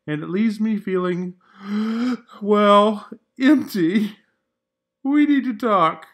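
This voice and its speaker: Man voicing a forlorn, high-pitched crayon. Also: extremely slow, heavily acted.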